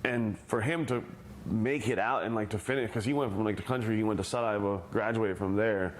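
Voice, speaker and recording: A man's voice: lowish voice, steady tone, fairly quick, a little hiss or hum, poor audio. A man in his thirties with an American accent, chatting casually in an oral history interview and filling his speech with "like". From Bosnia, raised in the United States. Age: 30s. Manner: casual, heavy use of "like", trailing off.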